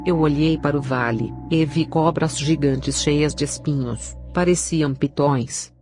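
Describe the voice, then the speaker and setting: A woman with a Brazilian accent reads a dramatic religious testimony as its narrator. Her voice sits quite low for a woman. Prominent music plays behind her scripted delivery. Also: measured pace, darkish tone.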